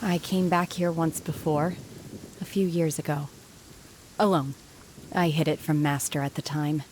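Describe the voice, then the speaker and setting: A woman playing a reserved, melancholy young woman in a video game, speaking in a measured, subdued way with pauses. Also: deep voice, steady tone, a little mellow, slight background noise. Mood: wistful. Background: background music.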